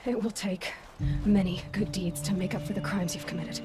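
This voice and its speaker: Game heroine: stern young female hunter female actor, resolute, clipped delivery, soft music underneath. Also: medium pitch, steady tone, moderate pace, close to an everyday voice. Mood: solemn, resolute. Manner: terse, firm, questioning. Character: stern young female huntress, determined interrogator, ultimately merciful. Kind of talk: dramatic video game acting. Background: faint background music.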